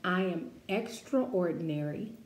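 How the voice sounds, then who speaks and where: A woman reading a picture book aloud to children, expressive and deliberate, with emphatic phrasing and encouraging asides. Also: fairly low pitch. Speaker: children's story-time reader. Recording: echoey room with faint background noise.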